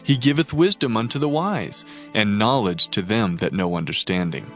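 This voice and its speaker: An actor playing a devout, solemn Hebrew prophet in a dramatized scripture audiobook, speaking in reverent, emphatic oratory. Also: male voice, fairly low pitch, animated, normal speed, close to an everyday voice. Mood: reverent, thankful. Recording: dull band-limited audio under prominent music. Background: music.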